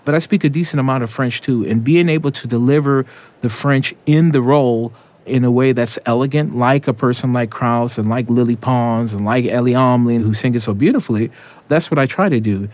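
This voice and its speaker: A man's voice: mid-range voice, brisk, darkish tone. Tenor guy being interviewed, thoughtful, restarts a bit. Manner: reflective, with small restarts. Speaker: opera tenor. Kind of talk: interview answers.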